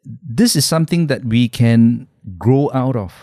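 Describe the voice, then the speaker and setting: A man's voice: medium pitch, lively intonation, unhurried, dark tone, no room sound, studio quality. A man with a Malaysian accent, a guest podcaster talking thoughtfully and mixing English with Malay. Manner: thoughtful, mixes English and Malay, lah and kan tags. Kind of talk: podcast discussion. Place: podcast studio.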